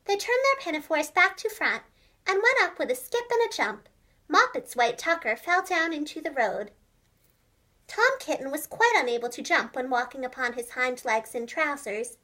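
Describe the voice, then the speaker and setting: A woman telling a children's storybook tale expressively and clearly, as if to young children. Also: sits high, very animated, moderate pace, bright, forceful tone. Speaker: storyteller, preschool teacher and voice actress. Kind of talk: animated children's storybook narration.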